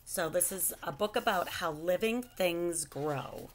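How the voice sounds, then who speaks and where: A middle-aged woman reading a children's book aloud in an expressive, animated storytelling style at home. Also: on the low side, unhurried, a little hiss or hum. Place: living room at home.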